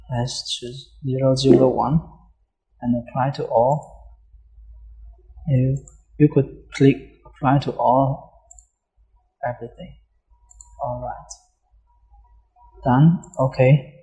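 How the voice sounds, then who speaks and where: Male tutorial presenter, mellow and even-toned, explaining software steps haltingly with frequent mid-sentence pauses. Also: medium pitch, low-quality recording. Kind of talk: unscripted step-by-step software walkthrough.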